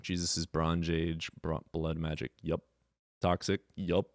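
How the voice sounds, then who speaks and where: A man's voice: very deep voice, flat delivery, unhurried, no room sound, studio quality. A man hosting a live call-in debate stream, combative, sarcastic and profane.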